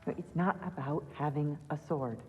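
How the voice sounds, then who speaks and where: A woman's voice: deep voice, normal speed, a little mellow, long reverb tail, dull audio, natural voice. An actress playing a worried queen who speaks earnestly and firmly to her family. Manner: earnest and firm.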